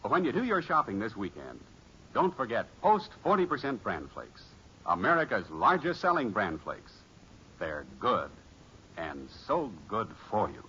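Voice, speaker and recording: Male vintage commercial announcer, bright, persuasive, animated, with dull hissy sound. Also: fairly low pitch, measured pace. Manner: polished, emphatic, persuasive. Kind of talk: 1950s radio advertisement. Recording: muffled, hissy old radio recording.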